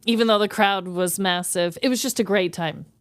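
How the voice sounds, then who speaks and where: A woman's voice: medium pitch, moderate pace, smooth, no echo. An American woman from the Midwest telling personal stories casually as a podcast host, chatty and effusive. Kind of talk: casual chat, personal storytelling.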